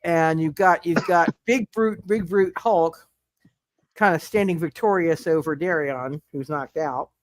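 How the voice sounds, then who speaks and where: A man's voice: on the high side, unhurried, dry recording. A man narrating a live tabletop superhero game as game master, stammering and often repeating his words.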